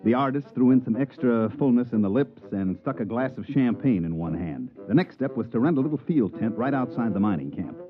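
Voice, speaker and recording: An actor playing a fast-talking male swindler and promoter in a 1950s radio drama, who also narrates the story with slick salesman patter. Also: medium pitch, expressive, soft background music, close to an everyday voice. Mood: narrating. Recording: dull vintage radio recording.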